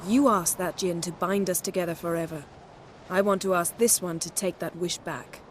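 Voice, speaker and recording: A woman's voice: lowish voice, steady tone, moderate pace, a little hiss or hum, lightly acted. A woman playing a cool, scholarly sorceress in a video game, speaking in an articulate, precise and self-assured way. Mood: earnest, resolute.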